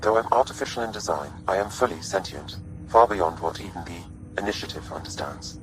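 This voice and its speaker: A deep-voiced artificial intelligence from a sci-fi game, acted by a man. It speaks formally and precisely, with calm courtesy, while music plays behind it. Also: a little fast, lightly acted, low-quality recording. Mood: calm, reassuring. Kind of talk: video game voice acting.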